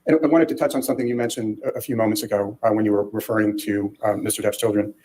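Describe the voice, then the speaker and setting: A man's voice: on the low side, steady tone, rapid-fire, dark tone, low-quality recording. A man working as an attorney, questioning a witness in court in a formal, direct way.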